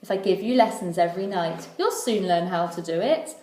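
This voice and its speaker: Storybook acrobat, played by a woman reader: brisk, encouraging, sure of herself; echoey room. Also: fairly low pitch, warm tone, a little hiss or hum, close to an everyday voice. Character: helpful, confident female circus acrobat.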